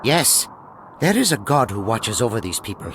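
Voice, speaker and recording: A man's voice: mid-range voice, sing-song, normal speed, fairly bright tone, a little hiss or hum. An actor playing a villain's henchman in a video game cutscene, reporting tersely to his master. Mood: scheming.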